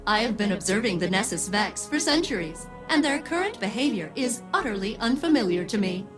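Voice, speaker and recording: A woman's voice: mid-range voice, sing-song, a little fast, music in the background, lightly acted. A woman playing an eager, centuries-old game AI with precise, formal enthusiasm. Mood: eager, polite. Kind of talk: video game character dialogue.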